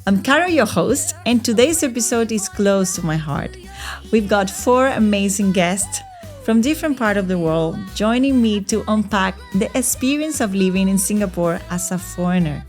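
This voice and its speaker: A woman hosting a round-table podcast interview, upbeat and quick to laugh, with loose, filler-heavy phrasing. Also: medium pitch, expressive, soft background music. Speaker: podcast host.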